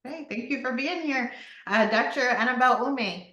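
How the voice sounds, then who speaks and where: A woman's voice: fairly high pitch, moderate pace, sharp tone. Woman moderating in a roomy echo. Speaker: panel moderator, doctor. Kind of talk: hosting an online panel discussion. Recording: roomy, echoing sound.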